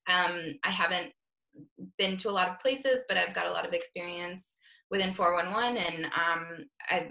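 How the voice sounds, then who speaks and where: Gravelly-voiced woman on a panel. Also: mid-range voice, pushed and bright, reverberant, poor audio. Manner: hesitant, many fillers, explanatory. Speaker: business affairs manager, panelist. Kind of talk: casual panel discussion.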